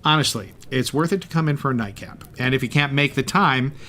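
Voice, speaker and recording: A man of medium pitch co-hosts a fiction podcast. He delivers scripted banter in character, keeping a formal surface with a playful, tongue-in-cheek touch. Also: a little fast, sharp tone. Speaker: podcast co-host.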